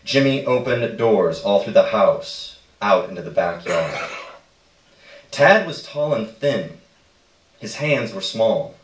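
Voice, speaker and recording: A man's voice: fairly low pitch, expressive, takes it slowly. A man reading a literary short story aloud at a live stage event. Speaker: story reader. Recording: echoey room, distant microphone.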